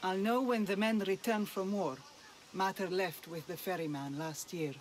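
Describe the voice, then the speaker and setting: A woman's voice: medium pitch, steady tone, moderate pace, lightly acted. Woman as a reluctant young blacksmith's daughter. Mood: doubtful. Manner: wry, hesitant, grumbling, sighing. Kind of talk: video game cutscene acting.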